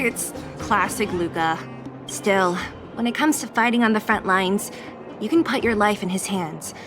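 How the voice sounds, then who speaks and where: A woman's voice: medium pitch, close to an everyday voice. An actress voicing a blunt young female resistance fighter in a video game, with a wry, exasperated manner. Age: young adult.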